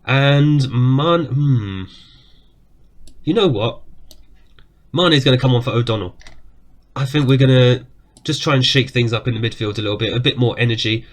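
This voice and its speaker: A man's voice: mid-range voice, normal speed, long pauses. A man with a cough giving casual, chatty commentary as he plays a football management game at his desk, reacting aloud to the match. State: has a cough. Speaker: gaming YouTuber.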